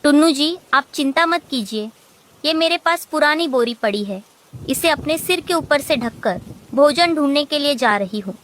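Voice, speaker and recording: A woman's voice: sits high, animated, normal speed, fairly bright tone, rough recording. A woman voicing an earnest, devoted and anxious mother bird in a cartoon. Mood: reassuring. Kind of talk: Hindi cartoon voice acting.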